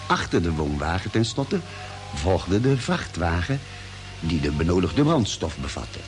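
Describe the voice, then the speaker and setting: Expressive expedition narrator, old radio drama. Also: male voice, sits low, a little slow, over music, full character voice. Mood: measured, grave. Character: male space expedition member recounting a Mars journey.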